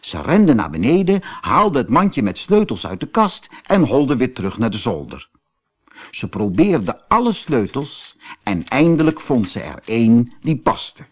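A man narrates a fairy tale for children as an audiobook. His storytelling is expressive, with lively movement in pitch, and he goes at a slow, unhurried pace.

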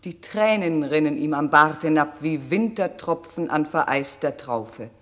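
A slow, low-voiced air spirit. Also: female voice, animated. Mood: sorrowful, pitying. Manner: deliberate, poetic, deferential. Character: gentle, obedient air spirit serving a master. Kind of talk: classic German radio play acting.

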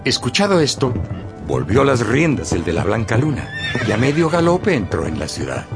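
A man narrating a scripted story for radio as an expressive storyteller. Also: medium pitch, normal speed, music in the background.

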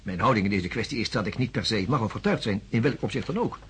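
An actor playing a measured, polite male psychiatrist in a radio drama, speaking deliberately and reflectively. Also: on the low side, animated, husky. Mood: polite, cautious. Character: measured, open-minded male psychiatrist investigating a case. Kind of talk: radio drama acting and first-person narration.

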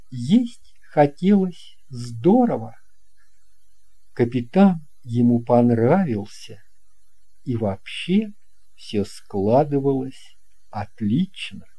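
A man's voice: mid-range voice, mellow, breathy edge. A man narrating a scripted fairy tale as a storyteller.

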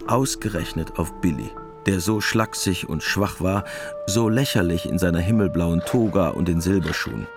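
A man narrating a literary war story in a radio play, measured, detached and matter-of-fact. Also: low-pitched, expressive. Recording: music under the narration.

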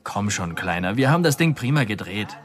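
A man's voice: fairly low pitch, very animated, a little fast. An actor playing a brash young male con man in a radio play, talking in a swaggering, dismissive way. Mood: cocky.

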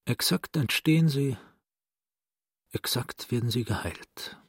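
Radio play casting: a cultivated, superior, husky-voiced gentleman, closely and drily recorded. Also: medium pitch, slow, no room sound, clean studio sound. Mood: dry, assured. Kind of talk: radio play acting in German.

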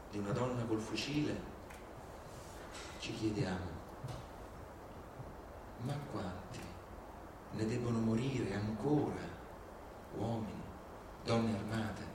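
A man performing a theatrical civic monologue, deliberate and rhetorical, with indignation and dramatic pauses. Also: lowish voice. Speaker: stage performer. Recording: very echoey, distant, clearly noisy.

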